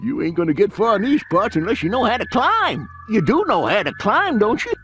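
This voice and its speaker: High-pitched folksy old prospector. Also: male voice, sing-song, fairly quick, a bit bright, faint music behind, exaggerated voice. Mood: folksy, jovial. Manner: folksy, chuckling, rambling. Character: folksy old male gold prospector, chuckling and friendly. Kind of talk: cartoon game fan dub acting.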